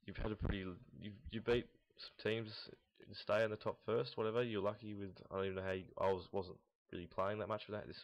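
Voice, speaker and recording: A young man with a deep voice talks through casual gaming commentary in a flat, barely varying tone. He rambles and halts, speaking bluntly and off the cuff. The recording is dull and low in fidelity. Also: quick pace, hardly any pauses. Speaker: host of a gaming team audio log. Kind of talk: casual unscripted commentary on esports team predictions. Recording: dull lo-fi, roomy echo, mouse clicks.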